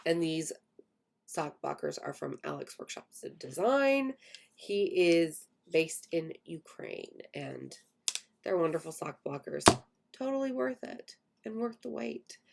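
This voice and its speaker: A woman in her late twenties hosting a casual podcast, croaky and hoarse while getting over an illness, with a voice that cracks now and then. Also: fairly low pitch, big pitch swings, takes it slowly, a little mellow. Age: 20s. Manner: chatty, self-deprecating, laughs often. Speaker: podcast host. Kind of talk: casual chat.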